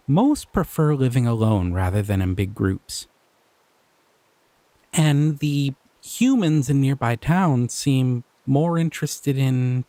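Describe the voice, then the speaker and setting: A man voicing a shy, gentle male slime creature in an audio roleplay, soft-spoken and hesitant, often trailing off. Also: medium pitch, no room sound.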